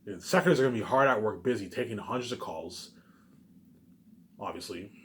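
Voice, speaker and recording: A man's voice: lowish voice, lively intonation, brisk, fairly bright tone, slight background noise. A man giving an informal, rambling monologue, repeating himself and restarting phrases, with emphatic tag words. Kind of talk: rambling informal monologue about personal plans.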